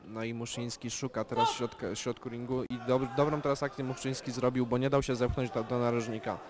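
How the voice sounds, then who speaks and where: A man giving calm, measured live play-by-play commentary on an amateur boxing bout, with technical analysis of the punches. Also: mid-range voice. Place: boxing event venue. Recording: loud crowd in a venue.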